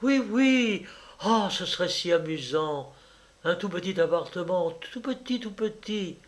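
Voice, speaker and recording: A man reading aloud and voicing a capricious, tearful young woman who coaxes her lover for money, in a slow storybook reading. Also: sits high, expressive, pitched above the performer's own voice. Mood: playful. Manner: pleading, wheedling, sobbing.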